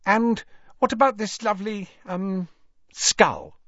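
Man voicing a high, brightly chatty teenage girl. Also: lively intonation, slow pace, no echo, dull audio, exaggerated voice. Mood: breezy, probing. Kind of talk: audiobook character voice.

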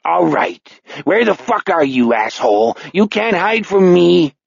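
A man voicing a vicious, crude old serial killer with a Chicago accent, sneering and taunting in a rough, strained voice. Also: high-pitched, sing-song, a little slow, no room sound, muffled recording, pitched above the performer's own voice, heavily acted. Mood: furious.

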